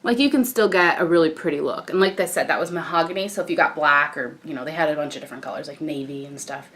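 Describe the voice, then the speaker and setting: Croaky young woman doing a makeup tutorial. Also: low-pitched, fast talker. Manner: chatty, relaxed, frequent fillers and restarts. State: croaky morning voice. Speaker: beauty vlogger.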